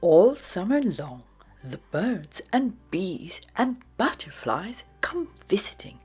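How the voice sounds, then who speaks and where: A woman narrating a children's storybook in a dramatic, expressive read-aloud style. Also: mid-range voice, takes it slowly, faint music behind. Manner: dramatic, with hushed phrases and sudden exclamations.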